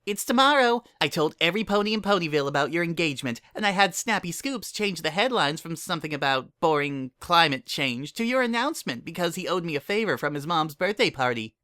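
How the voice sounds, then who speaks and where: Casting: man as bubbly, overexcited girl pony for storybook reading, pitched way up, swooping and gushing. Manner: excitable, gushing, exclamatory. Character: hyper, zealous female party pony, loud and bubbly. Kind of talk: dramatic reading of fan fiction.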